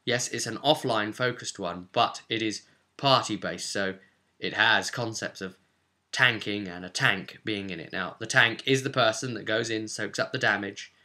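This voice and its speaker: Chatty man with a bright, forceful voice. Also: on the low side, moderate pace. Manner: chatty, checks in with "okay?" and "right?". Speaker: presenter of a tabletop roleplay primer. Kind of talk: informal explainer, conversational tutorial.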